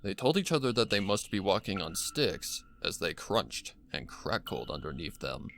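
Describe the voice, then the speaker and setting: A man's voice: low-pitched, sing-song, moderate pace, a bit bright, slight background noise. A man reading a scripted horror story as a dramatic narrator, with music behind him. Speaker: fan fiction narrator. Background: background music.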